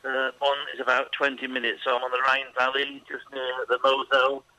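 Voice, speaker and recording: A middle-aged Englishman with a bright, forceful voice talks in a chatty, rambling way and stops often for ums and restarts. He sounds like a radio interview guest. The sound is dry and close. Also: mid-range voice, completely flat delivery, brisk, no room sound, poor audio. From England. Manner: chatty, hesitant with many ums.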